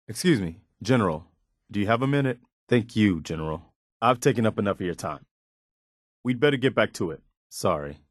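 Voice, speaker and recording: An actor playing an earnest, loyal militia lieutenant in a video game, sincere, respectful and soldierly. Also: male voice, on the low side, no room sound, studio quality, lightly acted. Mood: helpful, respectful. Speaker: companion character in a video game.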